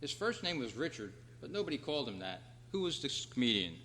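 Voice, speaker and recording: Bright-voiced male quiz host in a hall. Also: medium pitch, very animated, fast. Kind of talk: reading trivia questions to a club audience. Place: hall at a convention club meeting. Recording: reverberant hall, clear background noise and hum.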